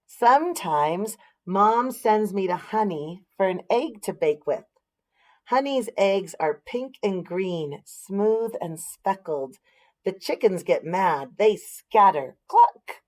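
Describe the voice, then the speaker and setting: A woman's voice: mid-range voice, animated, slow, a little mellow. An American woman reading a picture book aloud expressively, with explanatory asides and questions for young listeners.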